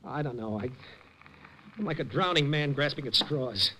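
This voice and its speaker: A man's voice: mid-range voice, lively intonation, normal speed, bright, forceful tone, poor audio. An actor playing a panicky young man at the end of his rope in an old radio drama, pleading. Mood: desperate. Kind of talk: 1950s radio drama acting. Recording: old radio broadcast, faint hiss.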